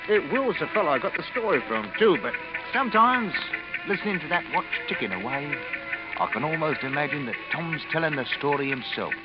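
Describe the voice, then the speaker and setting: Animated wry barkeeper, muffled radio. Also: male voice, a little high, fairly quick. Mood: wry musing. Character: chatty, wry barkeeper spinning a tale to a stranger. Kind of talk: 1940s radio drama storytelling. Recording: muffled old radio recording with music.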